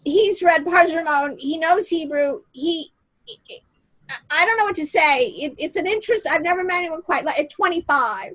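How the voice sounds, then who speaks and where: A woman on a group video call speaking enthusiastically but haltingly, often trailing off mid-thought. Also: very high-pitched, a bit bright, poor audio. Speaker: call participant.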